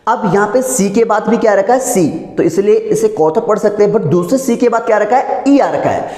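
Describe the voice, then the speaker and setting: A man's voice: high voice, expressive, moderate pace, warm tone. Male Indian-accented teacher, question-and-answer drilling style, recorded in a very reverberant room. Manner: didactic, repeatedly asks questions and answers them. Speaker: English pronunciation teacher. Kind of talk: classroom lesson in spoken English. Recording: echoey room with long reverb.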